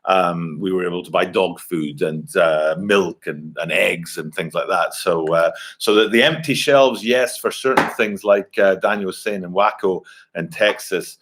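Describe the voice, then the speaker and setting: Rambling man in his forties, low voice. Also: measured pace, a bit bright, a little hiss or hum. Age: 40s. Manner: rambling, many fillers and restarts. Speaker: livestream host. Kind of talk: casual live-stream chat. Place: home, at a computer. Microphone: computer webcam mic.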